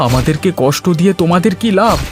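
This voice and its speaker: A man's voice: fairly high pitch, expressive, quick pace, faint music behind, natural voice. A man playing an earnest, humble villager in a cartoon, speaking emphatically. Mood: indignant. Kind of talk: Bengali cartoon voice acting.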